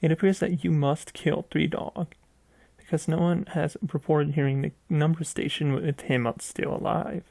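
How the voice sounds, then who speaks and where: A man's voice: a little high, level tone, normal speed, gravelly, mellow, no room sound. A man reading a scripted story aloud as narrator, stumbling now and then and repeating phrases. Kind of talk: scripted narration of a video game mystery story.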